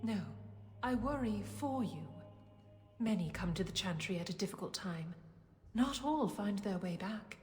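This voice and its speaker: Actress as a solemn temple devotee, reverberant. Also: female voice, mid-range voice, expressive, a little slow, a little mellow, lightly acted. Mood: concerned. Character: solemn, troubled, gently concerned female temple devotee. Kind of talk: dramatic video game dialogue. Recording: hall reverb with faint music.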